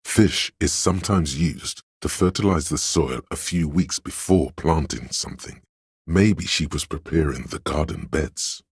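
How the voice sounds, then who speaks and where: A man voicing a pompous, erudite encyclopedia of trivia in a video game, speaking in a measured, deliberate, gravelly and husky narration. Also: rumbling low, no room sound, clean studio sound, full character voice. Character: pedantic know-it-all inner voice, a walking encyclopedia of trivia.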